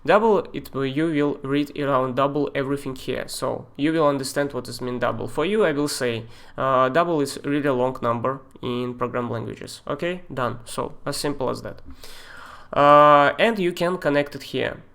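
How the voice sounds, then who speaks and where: A man with a Russian accent walks viewers through software on a live stream. He talks in a halting way, with fillers and loose non-native grammar, and his pitch sits in an ordinary middle range. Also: creaky. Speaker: software tutor. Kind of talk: live-streamed screen tutorial.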